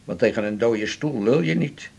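A man's voice: medium pitch, lively intonation, moderate pace, creaky, a little mellow, breathy edge, highs cut off. An actor playing a chatty, blunt, wry man with an earthy colloquial manner in a 1980s radio drama. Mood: wry, grumbling.

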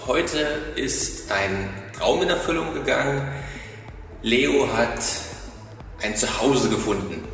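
A man's voice: mid-range voice, animated, takes it slowly, a bit bright, soft background music. Very echoey man making informal announcement. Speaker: audio drama producer. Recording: echoey, reverberant social media video clip.

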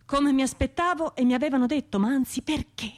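An actress playing a troubled, hesitant bombing survivor in a radio drama monologue, speaking in a halting, self-questioning, conversational way. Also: female voice, sits high, close to an everyday voice. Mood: anxious, pleading.

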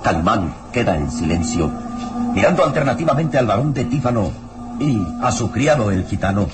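A man's voice: on the low side, expressive, moderate pace, over loud music, lightly acted. A man performing as the solemn, suspenseful storyteller of an old adventure radio serial, with dramatic scripted narration.

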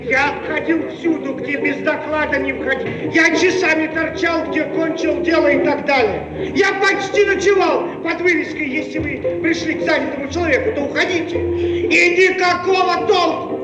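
A man's voice: extremely high pitch, normal speed, highs cut off, over loud music. An actor playing an eager, blustering man in a Soviet satirical radio play, effusive and given to repeating his words. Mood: agitated, blustering. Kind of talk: theatrical comic radio play acting. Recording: echoey archive radio recording with crowd.

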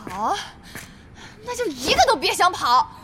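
Brash young female gamer, very high pitch, animated, demanding, excitable, audio drama. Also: unhurried, a little hiss or hum, heavily acted. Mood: cocky, demanding. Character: brash young woman gamer, guild squad leader. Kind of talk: Chinese audio drama acting.

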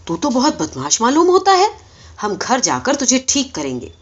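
A woman's voice: a little high, huge pitch swings. A woman voicing a stern, suspicious male cleric in a story, questioning in a demanding, authoritative manner. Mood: impatient threat. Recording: dull, lo-fi recording.

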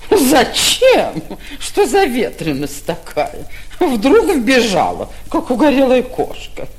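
An actress playing a vain, affected middle-aged provincial mother, haughty and theatrical, in a vintage radio stage comedy. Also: on the high side. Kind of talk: classic stage comedy acting, radio play.

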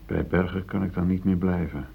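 An actor playing a troubled middle-aged factory worker, defensive and brooding, in a radio play. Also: male voice, sits low, little pitch movement, brisk, creaky, breathy edge, heavily acted. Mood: troubled, doubtful. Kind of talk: Dutch radio play acting. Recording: dull, muffled old radio play recording.

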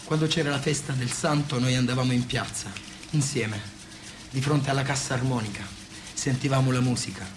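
A man's voice: mid-range voice, fairly even intonation, fairly quick, noisy background, natural voice. A man acting a weary, worn-down working man in a theatrical monologue, insistent and wistful. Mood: wistful, tender. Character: weary working man, worn down, tenderly remembering his father. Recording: echoey room, loud music.

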